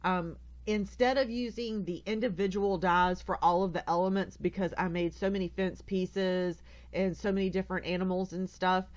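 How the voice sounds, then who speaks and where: A woman's voice: on the low side, steady tone, moderate pace, highs cut off. A woman with a stuffy, congested nose hosts a casual craft tutorial, chatty and rambling, often correcting herself as she goes.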